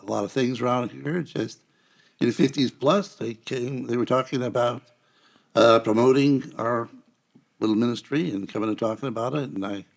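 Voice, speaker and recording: Male guest, sixties, creaky voice, casual conversational storytelling. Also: medium pitch, normal speed. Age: 60s. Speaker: guest, church member. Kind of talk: casual chat, reminiscing. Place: small studio round a table. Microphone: close podcast microphone.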